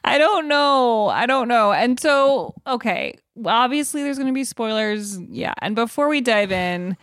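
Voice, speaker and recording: A woman's voice: on the high side, lively intonation, unhurried, fairly bright tone, no echo, clean studio sound. A woman co-hosting a podcast in casual, enthusiastic, giggly chat. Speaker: podcast co-host.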